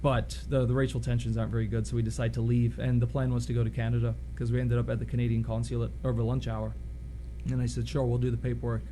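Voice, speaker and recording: A man's voice: mid-range voice, fast talker, a little hiss or hum. A man in his thirties, a women's soccer head coach from Poland, with a mixed international accent, telling his story frankly as a radio interview guest. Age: 30s. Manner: storytelling, frank and animated with asides.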